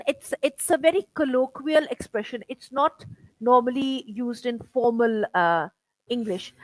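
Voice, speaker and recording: A middle-aged woman interviewing over a video call, chatty and halting, filling her talk with "you know" and restarts. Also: high voice, no echo, poor audio. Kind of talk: casual conversational interview.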